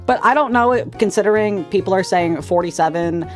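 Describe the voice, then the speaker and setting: A man in his twenties hosting a stream, chatting casually, animated, teasing and quick to laugh. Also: high-pitched, soft background music. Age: 20s. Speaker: streamer host.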